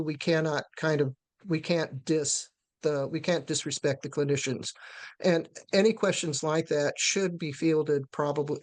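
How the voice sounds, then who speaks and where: A woman presenting a live webinar, answering questions haltingly with many fillers and restarts. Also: sits low, darkish tone.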